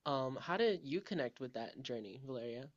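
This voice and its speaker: Young woman host, low voice, bubbly, dull lo-fi recording. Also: lively intonation, moderate pace. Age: young adult. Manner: bubbly, affirming. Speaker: interviewer. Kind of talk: casual interview. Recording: dull lo-fi sound.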